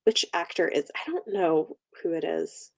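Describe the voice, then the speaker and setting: A woman's voice: low voice, measured pace, vocal fry. A woman hosting a casual livestream book chat, talking conversationally and thinking aloud as she goes. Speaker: book channel host.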